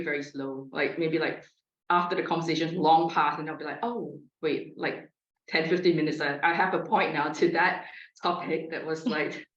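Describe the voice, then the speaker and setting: A woman speaking as a psychologist on a panel, hesitant, with many fillers and restarts. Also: low voice. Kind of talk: panel talk. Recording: echoey, muffled call audio.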